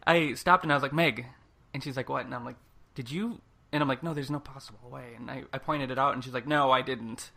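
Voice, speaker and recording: Podcast host, bright and forward with noticeable vocal fry, informal and giggly anecdote-telling. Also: mid-range voice, fairly quick. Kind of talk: casual chat, personal anecdote.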